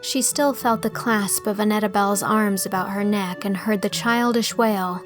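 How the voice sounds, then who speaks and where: Female audiobook narrator: scripted classic-storybook read, middle of the range, soft music bed. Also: medium pitch, fairly even intonation, moderate pace.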